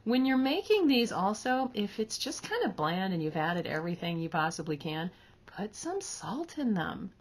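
A woman's voice: mid-range voice, big pitch swings, normal speed. A woman in her thirties hosting a casual kitchen demonstration, chatty and upbeat, filmed in a home kitchen with a camera on the counter. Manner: chatty, upbeat, explaining as she goes. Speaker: host. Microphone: camera at counter distance.

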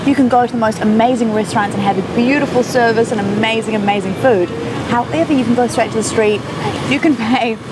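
A woman's voice: fairly high pitch, brisk, very noisy. A chatty, enthusiastic woman in her twenties hosting an upbeat food travel show. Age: 20s. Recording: loud background music.

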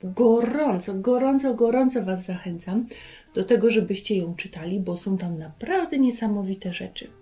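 A woman's voice: mid-range voice, moderate pace, mellow, faint music behind. Woman, middle-aged, children's lesson host, encouraging manner, direct address to young viewers, mid-range voice. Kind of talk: presenting to children. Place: furnished living room indoors.

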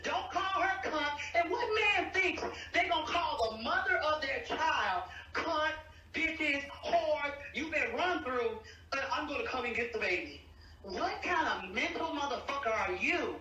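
Angry, very high-pitched middle-aged woman. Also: expressive, unhurried, bright, forceful tone. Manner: confrontational, profane, emphatic. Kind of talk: heated argument on a call. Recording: echoey, distant leaked phone-call recording.

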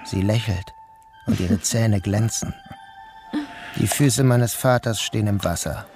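A man's voice: lowish voice, unhurried, a little mellow, faint music behind. A man narrating an audio drama in the first person, as its protagonist. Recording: noticeable background noise.

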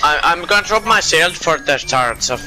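A man's voice: on the high side, expressive, brisk, rough recording. Man making game callouts over music. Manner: calls out plays, complains about teammates. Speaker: gamer streaming a match. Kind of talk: casual game commentary and team callouts.

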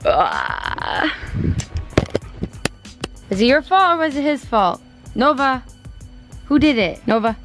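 Chatty, excitable young woman vlogging. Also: a little high, over music. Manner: chatty, excitable, quick exclamations. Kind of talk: casual vlog chat. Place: family home, kitchen and backyard deck. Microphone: handheld camera close up.

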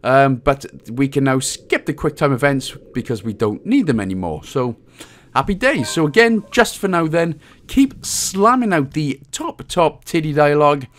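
A man's voice: on the high side, sing-song, moderate pace. A man with a Welsh accent giving casual, chatty, slangy walkthrough commentary on a video game as the guide's host. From Wales.

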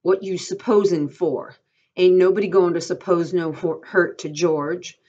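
A woman's voice: fairly low pitch, fairly even intonation, unhurried, dark tone, slightly rough, muffled recording, pitched above the performer's own voice. A woman voicing a childlike, trusting and easily agitated male ranch worker in an audiobook-style reading, with rustic dialect and insistent, pleading delivery. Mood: angry, threatening.

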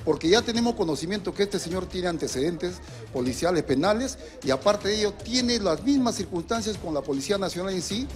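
A man's voice: high-pitched, lively intonation, brisk. Peruvian guy official on the street, earnest, lots of eh and restarts, background music. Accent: Peruvian Spanish. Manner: earnest, hesitant with fillers and restarts. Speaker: municipal security manager. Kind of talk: live TV news interview. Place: outdoors on a city street. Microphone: handheld reporter mic. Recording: music bed underneath.

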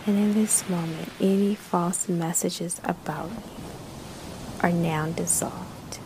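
Very slow, hushed woman guiding meditation. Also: on the low side, steady tone, background noise. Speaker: guided meditation narrator. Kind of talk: slow guided meditation narration.

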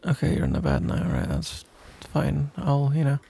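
Man acting a gravelly, flustered young best friend. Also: medium pitch, big pitch swings, mellow, exaggerated voice. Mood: flustered, embarrassed. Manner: halting, stammering, awkward, mumbling. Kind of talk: intimate ASMR audio roleplay.

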